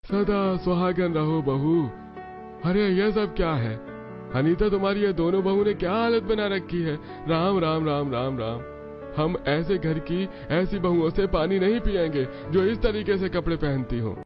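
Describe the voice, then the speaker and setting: A man's voice: sits high, unhurried. A man voicing a pious, easily offended older guru in a cartoon, solemn and disapproving. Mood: shocked, disapproving. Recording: dull lo-fi sound under clear music.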